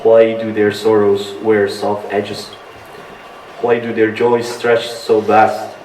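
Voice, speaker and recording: Slow-spoken man, low voice, roomy sound. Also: level tone, darkish tone. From Indonesia. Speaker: open-mic poet. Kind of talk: live poetry reading with halting spoken introduction. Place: indoor bar venue at a live open-mic night. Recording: roomy, distant mic, faint background noise.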